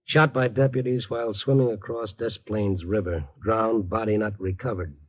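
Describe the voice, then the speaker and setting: An actor playing a streetwise, protective newspaper reporter in a 1950s radio drama, talking in a colloquial, urgent way. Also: male voice, lowish voice, fairly even intonation, normal speed, natural voice. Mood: thinking aloud. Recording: dull old radio recording.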